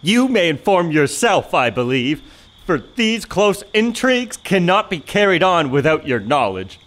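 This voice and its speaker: A man playing a jealous, hot-headed young gentleman in a period audio farce, haughty and declamatory. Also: sits high, sing-song, measured pace, full character voice. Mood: jealous rage.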